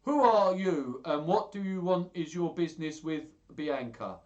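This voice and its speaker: A man's voice: medium pitch, expressive, unhurried, highs cut off, natural voice. A man voicing an earnest, pleading friend and rival suitor in a storybook, speaking emphatically. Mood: demanding. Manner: emphatic, would-be common.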